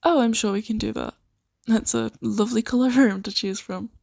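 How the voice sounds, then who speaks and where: A woman improvising an ASMR roleplay as a kindly, earnest host of a fantasy sanctuary, speaking softly and reassuringly with some hesitation. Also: on the high side, fairly even intonation, normal speed, creaky, dry recording, clean studio sound. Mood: welcoming, reassuring. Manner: soft-spoken, gently hesitant, reassuring.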